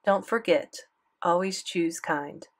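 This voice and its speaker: A kind male middle-school teacher offers gentle, earnest advice, read by a woman narrator. He speaks evenly and warmly encouraging, like a letter read aloud in a storybook. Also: lowish voice, slow pace.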